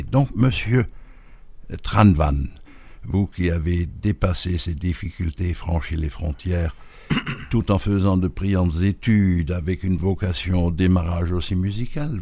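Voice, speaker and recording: A male interviewer with an extremely deep, gravelly voice that crackles with fry. He speaks slowly and deliberately, heaping flowery praise on his guest. His tone is husky and dark. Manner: flowery, effusive praise with slow deliberate delivery. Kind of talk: formal radio interview.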